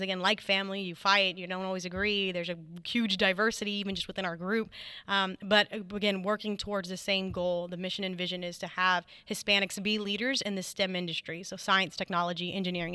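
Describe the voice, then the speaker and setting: Guest woman, articulate, lots of "um", crisp clear tone, dead-dry room. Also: mid-range voice, level tone, fairly quick, bright, forceful tone, dry recording. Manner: articulate, with frequent "um" and "mm-hmm" backchannels. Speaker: guest, engineering society leader. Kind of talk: casual interview answers.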